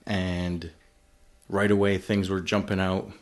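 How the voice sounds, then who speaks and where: A man with a very low, deep voice hosts a casual film-discussion podcast. He talks in a relaxed, loose way and thinks out loud with fillers as he goes. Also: takes it slowly. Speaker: podcast host.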